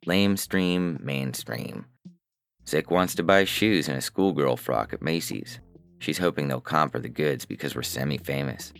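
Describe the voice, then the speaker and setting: A man playing a weary, paranoid hacker who narrates a science fiction audiobook in a terse, deadpan, sardonic manner. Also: rumbling low, a little slow, creaky, dry recording, heavily acted.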